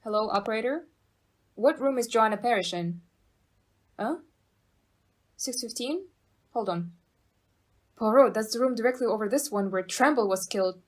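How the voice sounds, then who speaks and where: A woman playing an excitable, gruff police inspector in an audio play, blustering and commanding. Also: on the high side, normal speed, pauses often, close to an everyday voice. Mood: urgent.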